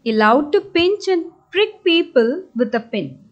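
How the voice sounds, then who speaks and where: A South Indian woman narrates a children's story in a high, lively voice. Her delivery is slow and deliberate, with short, emphatic phrases that a child can follow. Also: lo-fi sound.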